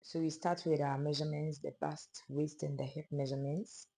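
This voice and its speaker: Hoarse sewing teacher explaining steps. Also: mid-range voice, normal speed. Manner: calm, step-by-step explaining of measurements. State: hoarse, losing voice. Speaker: sewing instructor. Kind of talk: pattern-drafting tutorial narration.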